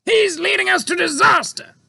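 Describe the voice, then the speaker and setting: A man voicing a blustering senior Air Force commander who shouts in panic and exasperation. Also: very high-pitched, expressive, unhurried, gravelly, recorded from a distance, voice pushed up, exaggerated voice. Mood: alarmed.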